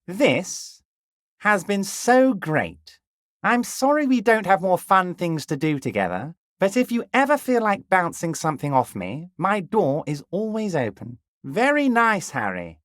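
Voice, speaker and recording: An actor voicing a jovial, scheming middle-aged union boss in a video game, smooth and ingratiating, dropping low and soft on pet names, crude at times. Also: male voice, no room sound, studio quality, exaggerated voice. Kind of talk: video game character dialogue.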